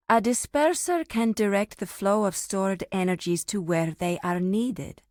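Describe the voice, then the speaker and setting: Solemn female grove warden, fantasy game, slow delivery, commanding, formal, dry close recording. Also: medium pitch, no echo. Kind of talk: scripted fantasy game dialogue.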